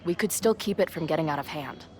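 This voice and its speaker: A woman's voice: low voice, quick pace, a little mellow, lightly acted. A woman playing a wry, glib young amateur sleuth in a video game, naturalistic in delivery. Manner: sardonic, glib, quippy. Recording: music under the voice.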